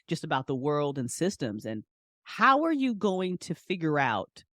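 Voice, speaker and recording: A woman's voice: deep voice, very animated, unhurried, fairly bright tone, no echo, clean studio sound. A woman speaking as a podcast guest in casual, passionate interview talk, emphatic and repeating phrases for stress. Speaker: podcast guest, audio drama writer and director.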